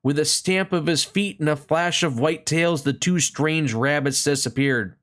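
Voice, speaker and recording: A storybook reader narrating a children's tale aloud in an expressive read-along style. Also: mid-range voice, a little slow.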